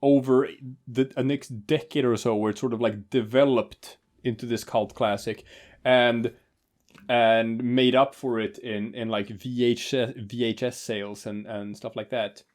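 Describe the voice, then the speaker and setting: A man's voice: mid-range voice, steady tone, measured pace, darkish tone. A man co-hosting a casual movie commentary, rambling with lots of fillers and restarts. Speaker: podcast co-host.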